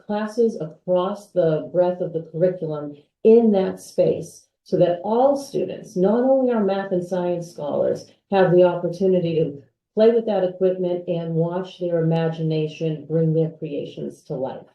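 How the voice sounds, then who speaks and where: A woman's voice: lowish voice, dark tone. A woman who is a school's associate head and dean, giving a polished, upbeat presentation. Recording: echoey room from far off.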